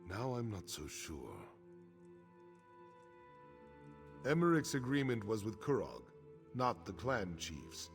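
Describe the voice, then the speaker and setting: An actor playing a grave, honorable middle-aged orc warrior chief in a video game, speaking in a solemn, measured, frank way. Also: male voice, lowish voice, gravelly, heavily acted. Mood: reflective. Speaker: quest-giving game character. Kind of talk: scripted video game dialogue. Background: game music.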